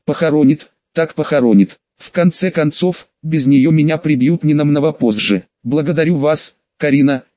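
A man narrates a science fiction audiobook in a colloquial, wry first-person style. His voice sits a little higher than most men's. The recording is very dry and somewhat dull, with little top end. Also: moderate pace, hardly any pauses, dry recording.

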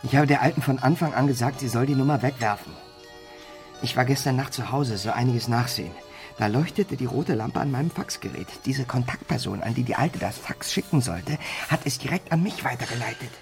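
An actor playing a wry, matter-of-fact armed robber planning a heist in a German radio crime drama. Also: male voice, mid-range voice, brisk, soft background music, lightly acted. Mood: irritated, brisk.

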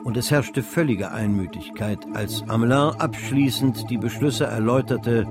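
Actor playing male storyteller in a radio play, literary narration of a grand saga, medium pitch. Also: moderate pace, music in the background, close to an everyday voice. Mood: measured.

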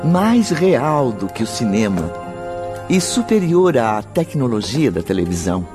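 Male narrator, unhurried, expressive, dramatic radio play style, music behind. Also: medium pitch. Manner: dramatic, measured.

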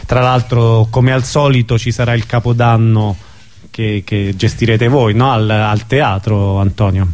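A man's voice: fairly low pitch, steady tone, unhurried, darkish tone. A man hosting casual live radio chat, informal and hesitant, often stumbling over his phrasing. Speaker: radio host.

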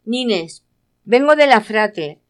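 A woman's voice: on the high side, expressive, very slow. A woman playing a friendly, persistent, chatty neighbour in an amateur radio play. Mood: friendly, coaxing. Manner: chatty, coaxing.

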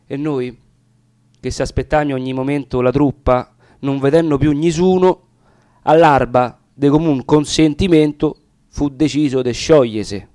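A man's voice: mid-range voice, a little slow, mellow, no room sound, clean studio sound. A man with a Roman accent reciting narrative dialect verse in a solemn, theatrical manner. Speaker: reader of dialect poetry.

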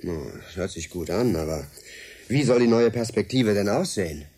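An actor in a German radio drama playing a skeptical, excitable journalist with a doubting, wry manner. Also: male voice, fairly low pitch, normal speed.

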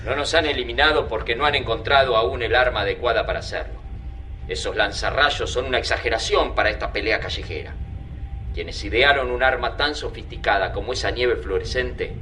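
An actor with an Argentine accent playing a thoughtful, wary resistance fighter in a radio drama, reasoning in a deliberate, foreboding way. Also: male voice, on the low side, pushed and bright. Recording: clearly noisy sound-effect ambience behind.

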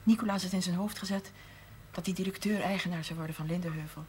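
Woman doing a serious farm wife shopkeeper, lowish voice, half-whispers stuff. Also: fairly even intonation, quick pace, a little mellow, a little hiss or hum, natural voice. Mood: confiding. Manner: earnest, confiding, drops to a near whisper. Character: earnest farmer's wife turned shopkeeper, middle-aged woman. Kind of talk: 1970s TV drama acting.

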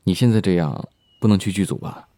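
Actor as mellow, low, considerate man. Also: a little fast, no room sound, clean studio sound, lightly acted. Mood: polite, reluctant. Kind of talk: audio drama acting.

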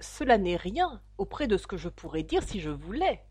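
A woman's voice: mid-range voice, sing-song, moderate pace, darkish tone, husky, dry recording. A woman voicing a smug old duchess who lectures in a preachy, self-satisfied way, for a storybook reading. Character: smug, fawning old duchess full of morals.

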